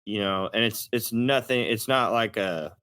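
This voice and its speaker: A man's voice: lowish voice, normal speed, fairly bright tone, no room sound. A man with a Texas accent chatting casually in an interview as a country rock singer, folksy, plain-spoken and a little profane.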